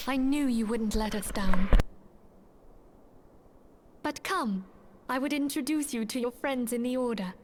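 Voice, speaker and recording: A woman's voice: fairly high pitch, darkish tone, slight background noise, voice pushed up, close to an everyday voice. A woman reading as Minfilia, an earnest order leader. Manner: formal, measured storybook reading. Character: calm, earnest woman leading a secret order.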